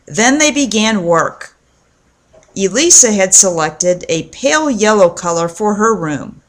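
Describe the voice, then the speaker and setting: A woman narrating a children's storybook aloud in a measured, clearly phrased read-aloud delivery. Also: mid-range voice, lively intonation. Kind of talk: storybook narration with acted dialogue.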